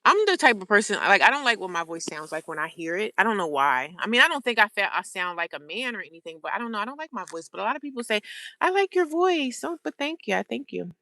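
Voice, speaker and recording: An American woman with a medium-pitched voice talks casually to her livestream viewers. She is informal and conversational, often circling back and repeating phrases to drive them home. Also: lively intonation, fast talker, hardly any pauses, bright, forceful tone, no room sound. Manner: informal, repeats phrases for emphasis, reads and answers viewer comments. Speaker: vlogger, livestream host.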